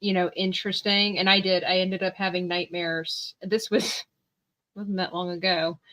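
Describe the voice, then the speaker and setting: A woman's voice: fairly low pitch, normal speed. A young woman from Panama with a Southern American accent, hosting a casual livestream chat and laughing often. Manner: giggly, animated, exclaims and laughs often. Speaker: livestream host.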